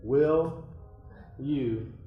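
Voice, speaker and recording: An actor playing a steady, affectionate man who encourages and gently teases his friend in a stage play. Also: medium pitch, extremely slow, roomy sound, narrow, tinny audio, slight background noise. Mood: tender. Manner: warm encouraging tone, gentle teasing.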